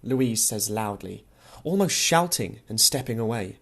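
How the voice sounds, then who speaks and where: A man's voice: fairly low pitch, lively intonation, unhurried, fairly bright tone. Man reading a literary audiobook. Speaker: audiobook narrator. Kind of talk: expressive literary audiobook narration.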